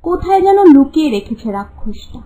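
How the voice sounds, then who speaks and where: A woman's voice: high voice, sing-song, a little fast, dark tone. A woman voicing an anxious young runaway princess in a cartoon story, worried and cautioning.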